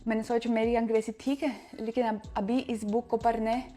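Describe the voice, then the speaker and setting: A young woman with a Russian accent, a native Russian speaker, chatting casually to her vlog audience in halting speech, often restarting as she searches for words. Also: fairly high pitch, little pitch movement, dark tone, soft background music. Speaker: vlogger. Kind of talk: casual chat to camera.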